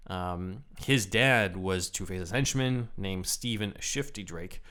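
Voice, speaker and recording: A man with a somewhat low voice co-hosts a relaxed podcast conversation. He talks in an easygoing way, leaning on plenty of "uh" fillers as he thinks aloud. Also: slow pace. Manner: laid-back, full of "uh" fillers. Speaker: podcast co-host. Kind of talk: casual chat.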